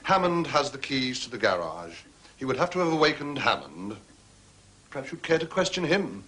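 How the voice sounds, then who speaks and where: An actor playing a self-important, discreet butler in a period detective drama, formal and condescending in manner. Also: male voice, medium pitch, sing-song, normal speed, bright, forceful tone. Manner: formal, pompous, deferential to authority.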